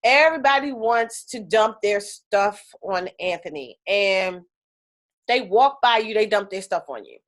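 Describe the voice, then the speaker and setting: A woman teaching an energy healing class on a video call, chatty and animated, with lots of fillers. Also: medium pitch, unhurried.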